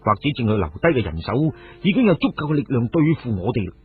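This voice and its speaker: Guy as cool decisive warrior leader in a radio tale, husky, muffled phone-like audio. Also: mid-range voice, sing-song, fast talker, soft background music, voice pushed down. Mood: calm. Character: shrewd, resolute male hero and clan leader.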